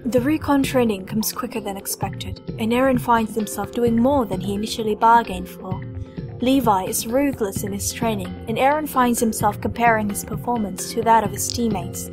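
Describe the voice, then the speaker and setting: A woman's voice: on the high side, moderate pace, over music, lightly acted. A woman playing a composed storyteller, reading scripted narration for a fan audio drama.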